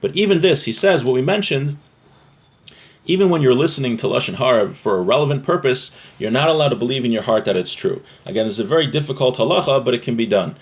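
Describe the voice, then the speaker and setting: A man's voice: medium pitch, lively intonation, fast talker. A man giving an explanatory religious lesson, reading from a text and rephrasing each point conversationally, with rhetorical questions. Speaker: religious teacher.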